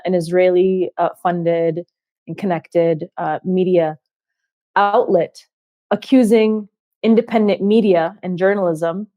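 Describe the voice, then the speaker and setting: Woman host, low mellow voice, impassioned and measured, dry sound. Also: dry recording. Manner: impassioned, measured, many fillers. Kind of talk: interview discussion.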